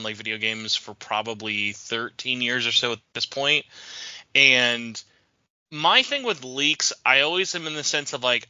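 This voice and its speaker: A man's voice: mid-range voice, bright, forceful tone, smooth, no echo, lo-fi sound. A man hosting a podcast, chatty and joking, asking long winding questions. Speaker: podcast host. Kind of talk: casual podcast interview.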